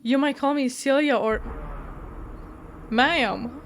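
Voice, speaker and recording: Slow-talking, high-voiced sassy woman gamer. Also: slight background noise. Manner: sassy, mock-indignant, joking asides. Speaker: host of a visual novel walkthrough channel. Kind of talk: playful gameplay commentary with reading aloud. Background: music.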